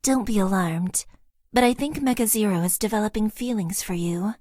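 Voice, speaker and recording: A woman voicing a formal, emotionally awkward young female mecha pilot in a dating game, earnest and deadpan with nervous stammers. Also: mid-range voice, moderate pace, no room sound, clean studio sound, natural voice. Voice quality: stiff, overly formal phrasing. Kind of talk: game voice lines. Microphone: close studio mic, dry.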